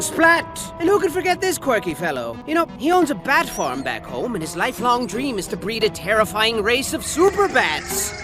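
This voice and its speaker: A man voicing a manic, scatterbrained male storybook narrator in a comic game, who tells his story theatrically and shouts out sound effects. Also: extremely high pitch. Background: music.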